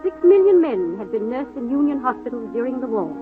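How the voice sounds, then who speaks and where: A woman's voice: fairly high pitch, very animated, brisk. A woman narrating a scripted historical radio program in dramatic 1930s-40s style. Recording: tinny old radio recording. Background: music.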